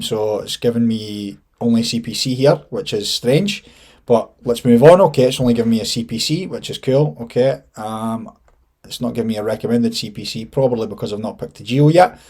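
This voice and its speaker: Scottish male tutorial presenter, thirties, lowish husky voice, informal think-aloud delivery. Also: a little mellow. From Scotland. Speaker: online marketing instructor. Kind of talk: casual screen-share tutorial, thinking aloud.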